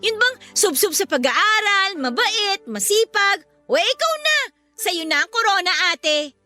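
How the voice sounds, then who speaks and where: Defiant teen sister, eighteen, for radio drama: very high, bright voice, sarcastic and whiny. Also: female voice, big pitch swings, a little slow, faint music behind, exaggerated voice. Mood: sulky, sarcastic. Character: bratty, defiant eighteen-year-old younger sister. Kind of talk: Filipino radio drama acting.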